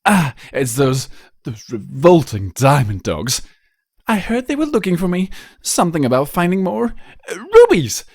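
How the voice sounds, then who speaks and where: A man's voice: fairly high pitch, huge pitch swings, unhurried, darkish tone, breathy edge, no room sound, studio quality, pitched above the performer's own voice, full character voice. A man voicing a refined, theatrical and fretful young female unicorn fashion designer in a storybook reading. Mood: nervous fibbing. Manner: theatrical, genteel, fussy.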